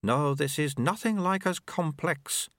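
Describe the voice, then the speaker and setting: A man voicing a calm, patient master sage with a measured, matter-of-fact way of talking. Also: fairly high pitch, dry recording, clean studio sound, pitched above the performer's own voice.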